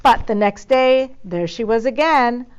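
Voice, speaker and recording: A woman narrating a children's story expressively, pausing dramatically on key words. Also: a little high, animated, smooth, dull audio. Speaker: storybook reader.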